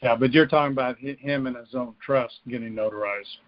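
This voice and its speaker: A man calling in by telephone to ask for advice, speaking casually with hesitant, halting phrasing. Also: mid-range voice, fairly even intonation, dry recording. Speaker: caller asking for advice. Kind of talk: casual phone question. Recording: dull lo-fi phone call.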